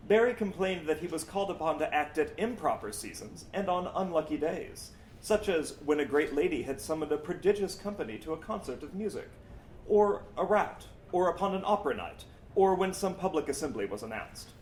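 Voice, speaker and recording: A man reading a scripted historical account aloud in an expressive, formal, oratorical manner. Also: on the high side, brisk. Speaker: reader. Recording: roomy echo, background noise.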